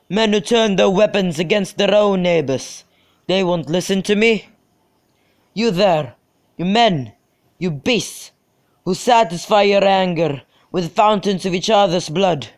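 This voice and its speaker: A man performing as an angry prince in a student radio play. He declaims sternly and with command, in a male voice pitched a little high. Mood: furious. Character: angry, commanding prince rebuking rioting citizens. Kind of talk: amateur student radio drama acting.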